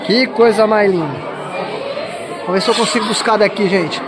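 A man's voice: high voice, moderate pace, a loud crowd. A man with a southern Brazilian accent narrating a wildlife park visit on his phone, enthusiastic and talking to his viewers, constantly exclaiming in awe. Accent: Brazilian Portuguese, southern Brazil. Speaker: vlogger filming a zoo visit. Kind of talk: casual handheld narration. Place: outdoor wildlife park with enclosures. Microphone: phone camera held while walking. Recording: noisy outdoor phone recording. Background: outdoor ambience and animal sounds.

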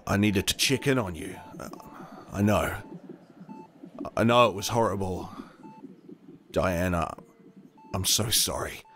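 A man's voice: on the low side, fairly bright tone, a little hiss or hum. An actor playing an earnest, self-doubting man, a reluctant leader in a sci-fi audio drama. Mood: sorrowful.

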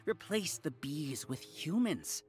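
A man's voice: on the high side, sing-song, slow, a little hiss or hum. A man voicing an arrogant, resentful researcher villain in an anime video game, speaking in formal, theatrical speeches. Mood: lecturing. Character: arrogant, resentful male researcher leading a hive mind.

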